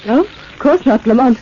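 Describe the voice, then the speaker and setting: Vintage radio heroine, wry and plucky, high mellow voice, muffled recording. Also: female voice, slight background noise. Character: wry, plucky young lady companion of sleuth. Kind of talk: 1940s radio acting.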